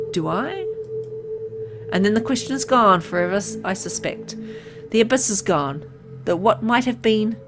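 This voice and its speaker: A woman reads as a gravely ill man slowly becoming a ravenous vampire, telling his story in the first person. The voice sits at a middle pitch and stays close to her everyday speech. Game music plays clearly underneath. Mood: resigned.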